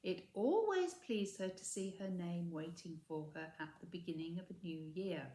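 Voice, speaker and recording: A woman reading a children's story aloud with measured, expressive emphasis, recorded in an echoey room. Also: medium pitch. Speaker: story reader.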